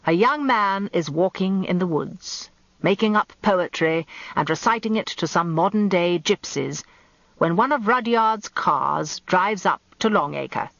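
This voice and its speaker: Woman playing old male barrister, shrewd and rhetorical, pausing for drama, radio courtroom speech, dry muffled sound. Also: fairly low pitch, animated, no echo. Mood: dramatic, building. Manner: measured courtroom oratory with dramatic pauses.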